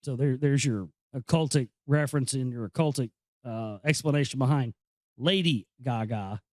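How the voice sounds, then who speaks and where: A man hosting an informal read-aloud, explaining and commenting in a halting, conversational way. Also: medium pitch, dry recording, studio quality. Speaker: host reading a book aloud with commentary. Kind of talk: informal reading with off-the-cuff commentary.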